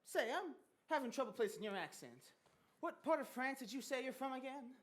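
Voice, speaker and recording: A woman's voice: high-pitched, sing-song, fairly quick. A woman playing a naive, lovestruck young bridegroom in a stage musical comedy, earnest and old-fashioned in manner. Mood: polite, eager. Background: loud musical accompaniment.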